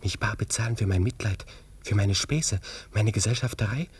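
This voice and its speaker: An actor playing a proud but self-doubting young cavalry officer in a German radio drama, brooding and questioning himself. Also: male voice, lowish voice, normal speed, husky, recorded from a distance. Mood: brooding, agitated.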